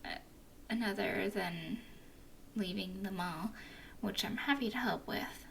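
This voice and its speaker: Flirty werewolf gal, woman voicing her, slow whispery asmr, a bit gravelly. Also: mid-range voice, slight background noise. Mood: teasing. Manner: teasing, soft whispering. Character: flirty playful female werewolf guide. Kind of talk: ASMR roleplay.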